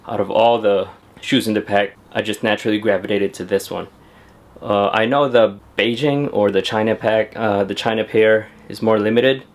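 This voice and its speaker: A young man talking casually to camera at home about his sneakers, chatty and laid-back with lots of fillers. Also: fairly low pitch. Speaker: sneaker vlogger. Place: at home indoors.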